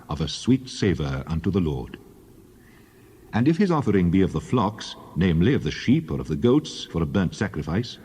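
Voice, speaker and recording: A man playing God as a solemn, commanding lawgiver in a dramatized scripture reading, speaking in measured declarations. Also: fairly low pitch, warm tone, breathy edge. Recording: long cathedral-like reverb.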